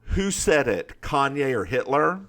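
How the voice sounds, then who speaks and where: A man with a Southern US accent co-hosting a podcast, chatting casually and recapping a film with lively storytelling. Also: mid-range voice, unhurried. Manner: animated storyteller, slips into voices while recapping. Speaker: podcast co-host. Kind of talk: casual movie recap chat.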